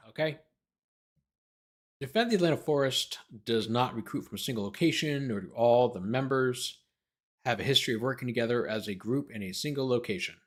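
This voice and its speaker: A man with a medium-pitched voice that rises and dips expressively as he talks. He works as a host and lawyer commentator, reading documents aloud and commenting off the cuff. His delivery is sardonic, slipping between formal reading and quippy asides.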